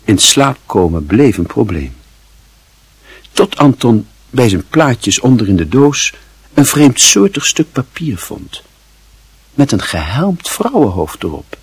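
A man narrating a literary radio drama in a solemn, measured and expressive manner. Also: mid-range voice, slightly rough, dry recording, full character voice.

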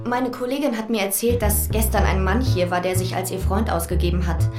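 An actress playing a hesitant, evasive office clerk in a radio play. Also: female voice, mid-range voice, fairly even intonation, brisk, echoey room, loud music behind the voice, close to an everyday voice.

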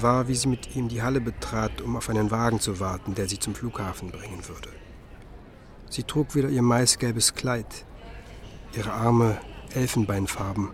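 Actor as measured, musing male narrator. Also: fairly low pitch, close to an everyday voice. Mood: wistful. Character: reflective male musicologist narrating his memories. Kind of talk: radio drama narration.

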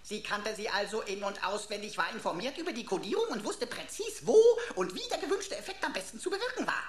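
An actress in a German radio play playing a pompous, sarcastic pocket computer with florid, mock-formal, theatrical delivery. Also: female voice, mid-range voice, very animated, quick pace, roomy sound, crowd noise, lightly acted. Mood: pompous, triumphant. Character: pompous, emotional talking pocket computer, a sarcastic sleuth sidekick.

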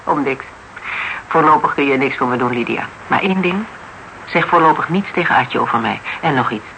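An actor in a Dutch radio drama playing a terse, wry private investigator with clipped, dry questions, on a noisy recording. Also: male voice, medium pitch, lively intonation, a little fast, vocal fry, sharp tone. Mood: terse, probing.

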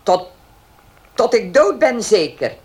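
An actress playing a sardonic, domineering old mistress of a country estate in a Dutch radio play, with pauses and dry chuckles. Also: female voice, medium pitch, sing-song, darkish tone. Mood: dry, needling.

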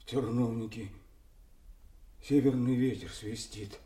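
An actor playing a frantic, pitiful mad beggar haunted by demons, rambling and chanting in a theatrical radio play. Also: male voice, mid-range voice, steady tone, slow, dark tone, roomy sound, lightly acted. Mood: pitiful raving. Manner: rambling, chanting, erratic outbursts. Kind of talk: theatrical radio drama acting.

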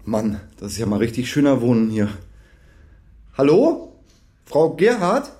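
A man's voice: medium pitch, wildly swooping pitch, measured pace, warm tone, a little hiss or hum. An actor playing an awkward, eager young male trainee, hesitant, chatty and over-polite, in a naturalistic radio crime drama.

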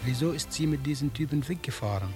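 Actor as subdued, grieving young man, mid-pitched. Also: quick pace, over music, lightly acted. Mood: subdued grief. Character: grieving young man, victim's brother, gentle, troubled. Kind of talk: radio drama dialogue.

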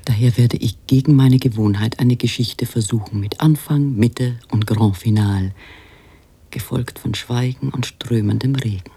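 An actor playing a musing author, an inner narrating voice, hushed and often half-whispered, in a literary radio play. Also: male voice, medium pitch, moderate pace, gravelly, husky. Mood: reflective.

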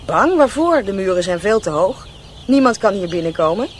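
A woman acts a wild, artless sixteen-year-old in a radio drama. Her voice is medium in pitch and boldly unafraid. Faint birds and poultry sound around her. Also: very animated, normal speed, a little hiss or hum, close to an everyday voice. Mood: bold, open. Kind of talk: Dutch radio drama acting.

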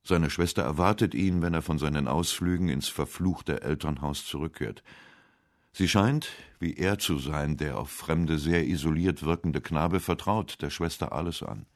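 A man's voice: rumbling low, moderate pace, no room sound, clean studio sound. A man reading scripted narration for a radio essay.

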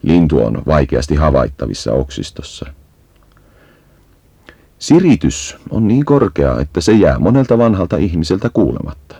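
A man giving a measured, instructive scripted narration for an educational nature guide. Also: extremely low pitch, big pitch swings, warm tone, husky, no room sound.

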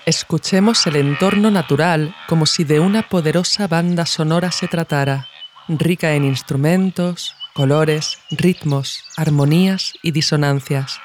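A woman narrates a scripted essay as podcast host in a measured, literary way. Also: low-pitched, a little hiss or hum.

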